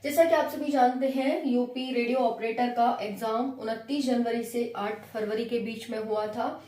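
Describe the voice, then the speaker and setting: A woman's voice: fairly high pitch, steady tone, measured pace, warm tone. A woman presenting an informal exam update for candidates, instructional in manner, posing questions and then answering them herself. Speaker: exam-update presenter for a job-preparation channel. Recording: echoey room, distant from the mic.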